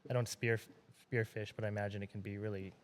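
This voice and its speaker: A man's voice: lowish voice, level tone, fast talker. A man hosting a podcast, asking questions in a casual, friendly, conversational way. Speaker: podcast host. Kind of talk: casual interview.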